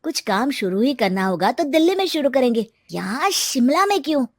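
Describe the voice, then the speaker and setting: Casual young male friend in a story, read by a woman: high, questioning, dry studio sound. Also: sing-song, normal speed, dry recording, heavily acted. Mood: cautioning.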